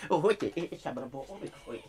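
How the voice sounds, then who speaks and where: An actor playing Death as a sly, bumbling peasant spirit in a Bavarian radio play, stammering, giggling and dropping to a hush. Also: male voice, fairly high pitch, lively intonation, very fast, lightly acted. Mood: giggly, curious. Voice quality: raspy, creaky, whispering and squealing by turns. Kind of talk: classic radio play acting.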